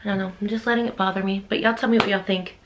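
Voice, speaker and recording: A woman in her thirties from Texas with a Texan accent, chatting quickly and casually to camera about books she wants to read. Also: medium pitch, fairly even intonation, background noise. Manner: chatty, quick, addresses viewers as y'all. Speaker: book vlogger.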